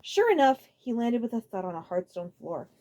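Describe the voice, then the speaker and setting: A woman reading a story aloud as a dramatic narrator, expressive, occasionally stumbling over a phrase. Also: on the high side, normal speed. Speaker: audiobook narrator.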